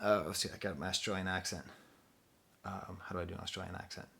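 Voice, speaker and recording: Animated, rambling male music reviewer. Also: deep voice, quick pace, vocal fry, husky. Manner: animated, rambling, self-deprecating. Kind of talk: casual on-camera album review.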